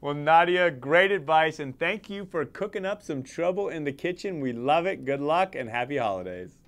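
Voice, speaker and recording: Animated middle-aged male TV host. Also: on the high side, moderate pace. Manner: enthusiastic, showy. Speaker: interview show host. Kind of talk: TV interview hosting. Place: TV studio.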